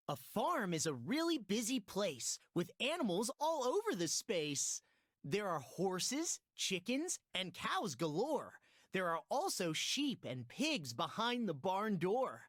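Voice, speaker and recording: Children's learning game guide, voiced by a woman: friendly, young, very animated, rhyming narration, close dry sound. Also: medium pitch, dry recording. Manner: sing-song rhyming delivery.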